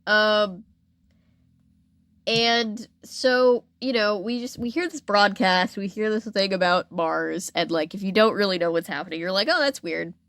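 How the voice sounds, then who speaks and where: A woman tells a story as a casual podcast host. Her voice sits at a medium pitch and her retelling is animated. The recording is close and dry. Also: measured pace, no room sound. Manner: animated retelling, acting out imagined reactions in quotes.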